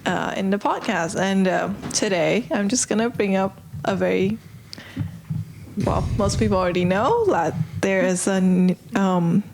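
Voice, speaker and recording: A woman's voice: medium pitch, a little slow, creaky, a little mellow, a little hiss or hum. A young woman presenting news casually on a student podcast, with a hesitant, informal manner. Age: teens or young adult.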